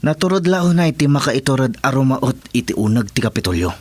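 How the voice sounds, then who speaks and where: Actor as a husky, brash, impatient sidekick. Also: male voice, medium pitch, lively intonation, a little fast, no room sound, close to an everyday voice. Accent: Filipino. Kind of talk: radio drama acting.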